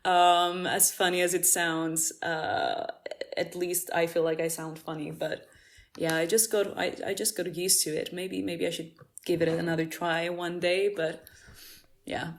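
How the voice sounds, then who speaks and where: Laughing woman, lowish voice. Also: steady tone, a little slow. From Cyprus. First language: Greek. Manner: laughs often, many restarts and fillers. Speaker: musician guest. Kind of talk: casual interview chat.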